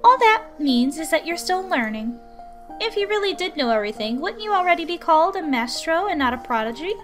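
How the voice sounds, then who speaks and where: Visual novel tutor: high-pitched, measured, courteous woman playing a scholarly young teacher, music underneath. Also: very animated, a bit bright, full character voice. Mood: challenging. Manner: polite, measured, earnest. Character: patient, formal woman researcher acting as substitute tutor. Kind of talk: visual novel character reading.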